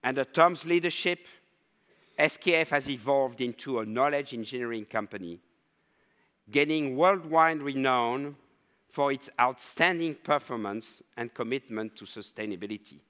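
An older man hosting a conference, giving a measured, formal introduction of the keynote speaker on stage. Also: medium pitch, fairly bright tone, clean tone. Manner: measured, ceremonial. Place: large conference hall stage. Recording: long hall reverb.

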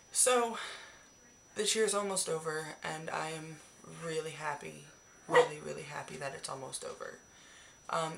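A woman in her twenties, hoarse from the flu, chatting casually and swearing freely about her beauty favorites into a webcam in a small bedroom. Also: deep voice, fairly bright tone, a little hiss or hum. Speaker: beauty vlogger. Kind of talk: casual monthly favorites chat. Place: small decorated bedroom, indoors. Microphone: webcam at arm's length.

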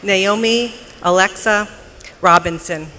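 An announcer reading formally at a graduation ceremony in a large arena, with measured, deliberate enunciation. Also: mid-range voice, bright, forceful tone. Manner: measured, deliberate enunciation with pauses between names. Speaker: commencement name announcer. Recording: noisy arena PA with crowd behind. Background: crowd noise.

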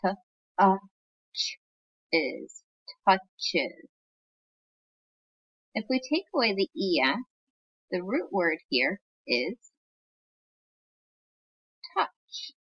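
A woman's voice: mid-range voice, big pitch swings, very slow, long pauses, a bit bright, husky, highs cut off. A woman teacher reading a picture book aloud to young children, patiently sounding out words.

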